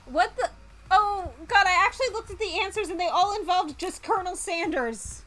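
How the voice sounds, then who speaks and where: A woman's voice: very high-pitched, lively intonation, moderate pace, soft background music. A woman doing live game stream commentary, reading on-screen text aloud and breaking into exasperated exclamations. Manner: exclaims loudly in exasperation, mutters while reading. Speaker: VTuber streamer.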